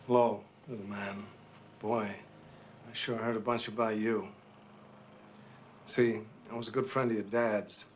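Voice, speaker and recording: Low-voiced, solemn veteran captain played by an actor. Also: male voice, little pitch movement, close to an everyday voice. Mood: solemn, friendly. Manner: slow, halting, earnest storytelling with long pauses. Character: solemn middle-aged war veteran captain addressing a small boy. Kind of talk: film drama monologue. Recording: noisy film soundtrack.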